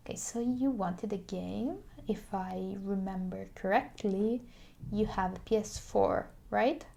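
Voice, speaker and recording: Soft, slow-talking woman in ASMR roleplay. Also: mid-range voice, creaky. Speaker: ASMR creator playing a video game shop clerk.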